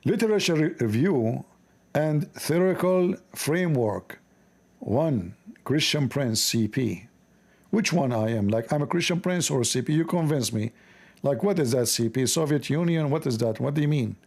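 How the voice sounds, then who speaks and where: A man with an Arabic accent reading aloud haltingly and breaking off for sarcastic, joking commentary. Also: mid-range voice, animated, a little mellow. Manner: halting, stumbling reading of English.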